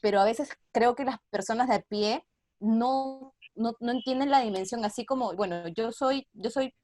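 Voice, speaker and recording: Higher-voiced Peruvian woman, earnest and explanatory. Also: moderate pace, a little mellow, no room sound, studio quality. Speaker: theater artist, interview guest. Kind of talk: casual video-call interview.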